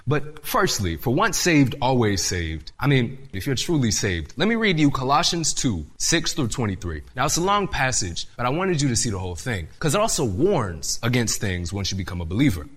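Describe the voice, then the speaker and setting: A young man preaching a sermon to a church audience and reading scripture aloud, his voice slightly raspy, earnest and emphatic. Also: medium pitch, normal speed, few pauses. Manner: earnest, lively delivery with rising emphasis.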